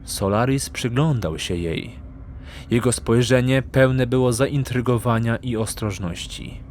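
A man's voice: medium pitch, measured pace, darkish tone, slight background noise. A man narrating a fantasy audiobook in a dramatic, scripted storytelling style.

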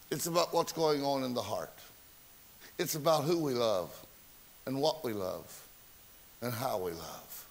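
A man's voice: mid-range voice, vocal fry. A man preaching a sermon, telling folksy stories and asking the congregation rhetorical questions. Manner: folksy storytelling with rhetorical questions, self-mocking. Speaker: pastor. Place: church sanctuary.

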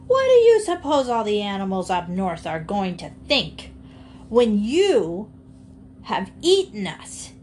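Lady doing a hot tempered animal guy, high pitched, scolding and indignant, storybook style. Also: a little hiss or hum. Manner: scolding, emphatic.

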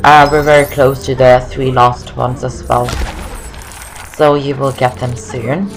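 A young woman talks through a video game. She is chatty and rambling, often repeating herself, with music playing clearly behind her. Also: extremely low pitch, little pitch movement, measured pace, poor audio. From Norway. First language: Norwegian. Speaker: gaming video host. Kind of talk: casual game walkthrough commentary.

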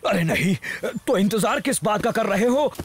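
A man's voice: high-pitched, lively intonation, husky. A man voicing a fretful, caring big bear in a cartoon dub, fussing as he talks. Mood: alarmed. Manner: worried, fussing, drawn-out.

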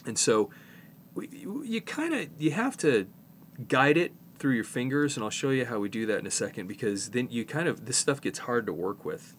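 A man in his fifties with a slight head cold, presenting a casual hands-on repair tutorial in an informal, halting way as he works. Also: mid-range voice, sing-song, slight background noise. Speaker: presenter, tape repair technician.

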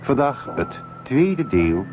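A man's voice: medium pitch, very animated, slow pace. A man announcing a radio drama in a scripted introduction. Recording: thin, muffled old radio recording. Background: music.

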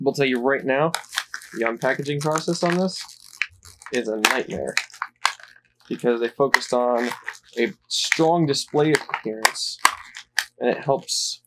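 A man's voice: mid-range voice, measured pace, long pauses, heavy background noise. A man giving casual, rambling commentary while unboxing a toy playset, off camera. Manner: relaxed, rambling, with fillers.

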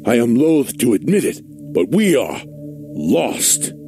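An actor playing an earnest, resolute warrior commander in a dramatic video game cutscene. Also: male voice, mid-range voice, very animated, slow pace. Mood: reluctant, determined. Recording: loud music behind.

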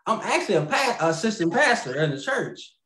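A man's voice: high-pitched, sing-song, a little fast, fairly bright tone. Unwell man chatting on a video call. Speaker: puppeteer and assistant pastor. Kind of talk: casual video call chat. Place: home living room. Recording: echoey room on video call.

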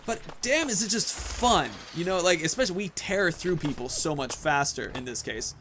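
A man's voice: high voice, animated, normal speed, a bit bright, a little hiss or hum. A man in his thirties giving casual, chatty let's-play commentary, thinking aloud as he plays. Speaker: gaming video host. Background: video game sounds.

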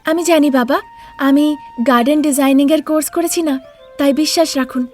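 A woman plays a young daughter-in-law in a cartoon with a high voice. She sounds confident and eager, yet respectful to her elders. Music plays behind her. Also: steady tone, fairly quick, natural voice. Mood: determined. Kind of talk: Bengali cartoon voice acting.

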